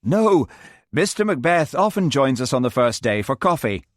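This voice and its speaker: A man voicing a nervous, brightly cheerful male fishing instructor. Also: medium pitch, big pitch swings, normal speed, dry recording, clean studio sound, pitched above the performer's own voice, close to an everyday voice. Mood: flustered.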